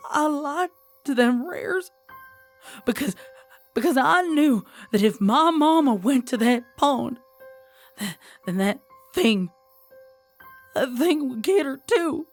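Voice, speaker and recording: A woman voicing a frightened, haunted young farm pony mare, stammering and halting in a dramatized horror story. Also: sits high, lively intonation, soft background music, heavily acted. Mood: tearful, guilty.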